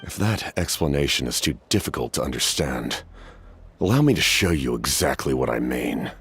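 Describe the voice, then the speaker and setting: An actor playing a solemn, weary, centuries-old masked commander in a video game, speaking formally and gravely in a measured way. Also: male voice, rumbling low, animated, fairly bright tone, no room sound, full character voice.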